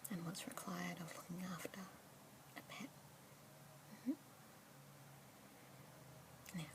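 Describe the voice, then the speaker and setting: A young woman with an Australian accent whispering softly and breathily as a pet adoption specialist in an ASMR roleplay, with gentle murmured "mm-hmm" fillers. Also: fairly low pitch. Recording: close whisper mic with clear background hiss.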